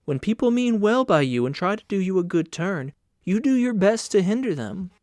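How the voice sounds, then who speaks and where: A man's voice: high voice, very animated, normal speed, a little mellow, no room sound, clean studio sound, pitched above the performer's own voice. A man voicing a kindly, very fat, insistent woman who runs a hotel, coaxing in audiobook dialogue.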